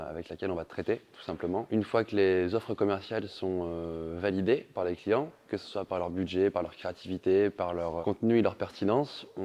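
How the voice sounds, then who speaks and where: A man's voice: deep voice, mellow. A man gives an interview as a sales manager. He explains things step by step, repeating small words while he looks for the next phrase. The sound is somewhat dull, lacking top end. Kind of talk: recruitment interview testimonial.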